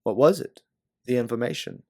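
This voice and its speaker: A man's voice: medium pitch, expressive, moderate pace, darkish tone, no room sound, clean studio sound, lightly acted. A man voicing a weary, candid stranded ex-pilot in a science fiction audiobook. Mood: curious. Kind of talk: audiobook character dialogue.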